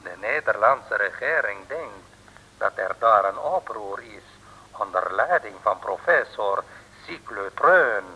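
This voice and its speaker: A man playing a coldly menacing secret agent in an old radio play, speaking in slow, measured, pompous pronouncements. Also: lowish voice, expressive, sharp tone, a little hiss or hum, exaggerated voice. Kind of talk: 1950s Dutch radio play acting. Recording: old radio recording with long reverb.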